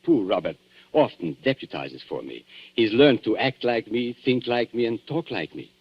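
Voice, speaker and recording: An actor playing a suave, menacing antique dealer with formal, sardonic politeness in a 1940s radio thriller. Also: male voice, medium pitch, lively intonation, normal speed, husky. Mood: cool menace. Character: suave, menacing male antique dealer toying with guilty visitors. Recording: dull 1940s radio broadcast.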